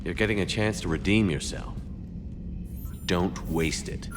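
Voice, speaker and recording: A gruff, heroic space-military commander from a video game. He speaks low, in terse and firm phrases. Loud music plays behind him. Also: measured pace. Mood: stern.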